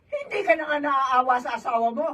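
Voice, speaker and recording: An actress playing a feisty, indignant older mother-in-law in a radio drama, scolding in a husky voice. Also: high-pitched, animated, a little fast, recorded from a distance, heavy background noise. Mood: scolding, angry. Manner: scolding, exclaiming.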